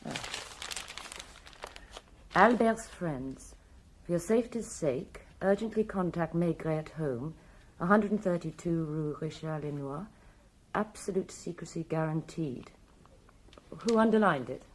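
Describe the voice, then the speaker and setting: A husky, inquisitive detective's wife. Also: female voice, on the low side, unhurried, pauses often, rough recording. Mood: curious. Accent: British. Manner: questioning, gently anxious. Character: inquisitive, concerned detective's wife, mature woman. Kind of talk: radio drama acting.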